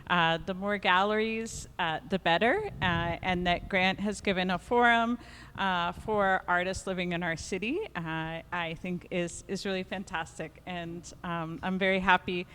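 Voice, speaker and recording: Woman moderator with a loud, carrying voice that trails into a long hall reverb. She talks into a handheld mic in an art gallery and pads her sentences with frequent ums and uhs. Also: fairly low pitch, clear, resonant tone. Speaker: panel moderator. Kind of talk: introducing a panel discussion. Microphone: handheld microphone.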